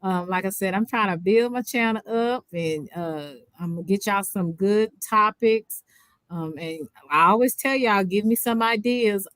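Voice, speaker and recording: A woman's voice: mid-range voice, a little slow, fairly bright tone, no room sound. A woman with a Southern accent hosting a casual livestream chat, talking in a rambling way with many fillers and restarts. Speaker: livestream host.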